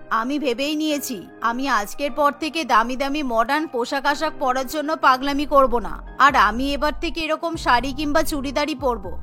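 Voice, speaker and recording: A woman's voice: sits high, pushed and bright, pitched below the performer's own voice. A woman doing a haughty, scornful cartoon mother-in-law. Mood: bashful. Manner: scornful, scolding. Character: vain, haughty middle-aged mother-in-law.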